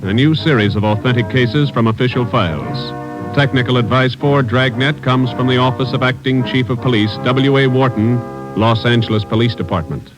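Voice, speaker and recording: A man reading formal announcements in 1940s radio style, measured and even. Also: medium pitch, music in the background, poor audio.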